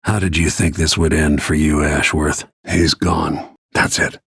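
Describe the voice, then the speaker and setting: A man voicing a grim, battle-worn middle-aged royal protector and assassin in a video game, with terse, brooding, measured delivery and a gravelly, husky voice. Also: rumbling low, level tone, fairly bright tone, no echo, clean studio sound, heavily acted. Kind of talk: scripted video game character lines.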